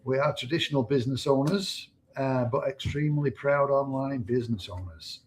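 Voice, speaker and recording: A man's voice: medium pitch, moderate pace, heavy background noise. A middle-aged man with an English accent, co-hosting a livestream in casual chat. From Manchester, England. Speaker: co-host, online business owner.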